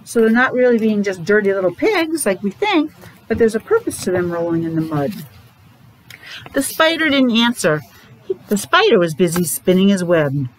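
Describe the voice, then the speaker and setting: A woman's voice: mid-range voice, big pitch swings, normal speed, slight background noise. A middle-aged or older woman teacher reading a story aloud to young children, slightly muffled by a face mask, with chatty explanatory asides and questions. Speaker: preschool or elementary teacher. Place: classroom, indoors.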